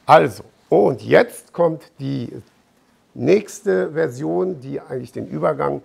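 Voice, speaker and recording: A middle-aged man explaining fitness equipment to camera in an informal, deliberate way, as an expert presenter. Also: on the high side, animated, vocal fry, a little mellow, slightly rough. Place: bright gym showroom indoors with large windows.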